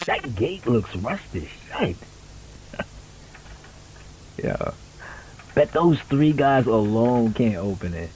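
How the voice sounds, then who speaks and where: Man acting casual male high school senior, hugely varied pitch, conversational audio drama, faint noise. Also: big pitch swings, drawn-out words, pauses often, a little mellow, heavily acted, low-quality recording. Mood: casual, offhand. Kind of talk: amateur audio drama dialogue.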